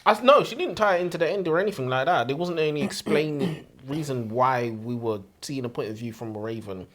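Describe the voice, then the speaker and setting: A man's voice: medium pitch, animated, brisk, a bit bright. A man co-hosting a book podcast in casual chat, blunt and slangy, repeating points for emphasis. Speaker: book review podcast co-host. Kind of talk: casual opinionated book discussion.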